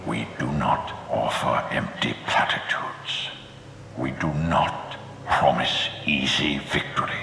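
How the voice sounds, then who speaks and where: A man playing the stern, commanding ghost of an armored Sith lord in a video game, with a slow, gravelly, processed voice. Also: bright, forceful tone, heavily acted. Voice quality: gravelly, breathy menacing rumble. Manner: slow, solemn, declamatory. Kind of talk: dramatic video game voice acting. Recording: long echoing reverb, faint noise.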